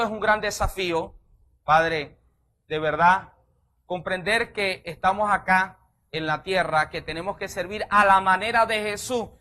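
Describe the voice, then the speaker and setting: A man preaching with a bright, forceful voice that sits a little high. He is a pastor closing a sermon, leading the church through a fervent prayer. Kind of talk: sermon altar call and prayer.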